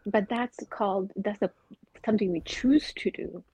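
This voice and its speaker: A woman's voice: mid-range voice, normal speed, warm tone, husky, no room sound, rough recording. A woman from Afghanistan talking in an earnest, opinionated way on a casual podcast, mixing in spiritual ideas. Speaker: podcast co-host.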